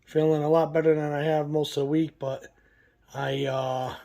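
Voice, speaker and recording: A man, hoarse from a cold, hosting a casual product review vlog in a relaxed, folksy way full of fillers. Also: fairly high pitch, moderate pace. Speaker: channel host.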